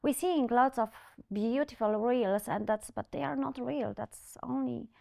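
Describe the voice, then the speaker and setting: A woman's voice: on the high side, a little slow, creaky, a little mellow. A woman psychologist guest speaking hesitantly, with non-native English grammar. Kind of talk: casual chat.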